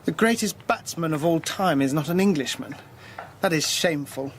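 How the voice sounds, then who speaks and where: Male doing a posh snobby english schoolboy in a radio play, highish voice, sneery, bit of noise behind. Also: moderate pace, lightly acted. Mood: sneering, suspicious. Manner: haughty, sneering, clipped. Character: snobbish, suspicious privileged English public schoolboy. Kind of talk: radio drama acting.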